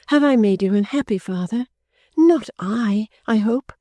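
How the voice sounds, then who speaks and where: A woman reader voicing a gentle, timid young woman who speaks softly and earnestly pleads. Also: fairly high pitch, unhurried, dry recording, pitched above the performer's own voice, close to an everyday voice. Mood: pleading.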